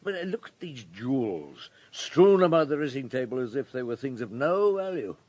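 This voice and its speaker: A police superintendent in a radio drama. He is calm and methodical, with a dry, wry delivery and a medium-pitched voice. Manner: measured, wry, murmuring hums. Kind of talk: British radio drama acting.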